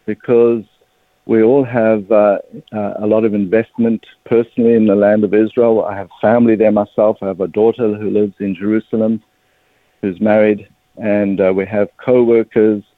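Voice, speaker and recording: Interview guest: 50s South African man, earnest and measured, low voice over a tinny, dry phone line. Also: little pitch movement, no room sound. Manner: earnest, measured, a little emotional. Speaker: guest, ministry director. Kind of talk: radio interview.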